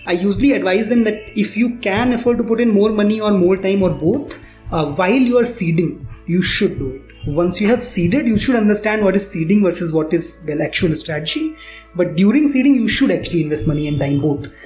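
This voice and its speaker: A man's voice: sits high, expressive, brisk, a little mellow. A man with an Indian accent answering interview questions as a founder, candidly explaining and repeating phrases for emphasis. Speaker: startup founder being interviewed. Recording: roomy echo, music underneath.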